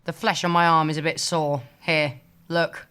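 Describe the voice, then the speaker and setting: A woman's voice: deep voice, flat delivery, moderate pace, a bit bright, clean tone. A woman playing a sardonic, irritable office worker, dry and blunt, in an audio sitcom. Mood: irritated.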